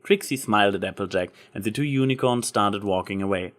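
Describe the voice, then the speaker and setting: A man's voice: lowish voice, brisk, fairly bright tone. A man reading scripted fan fiction as the story's narrator.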